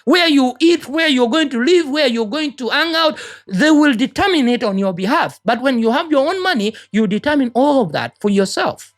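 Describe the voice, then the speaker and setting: Woman, Ugandan accent, high-pitched, earnest motivational talk, preachy, repeats herself. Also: expressive, normal speed. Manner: earnest, preachy, repeats words while searching. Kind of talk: motivational monologue.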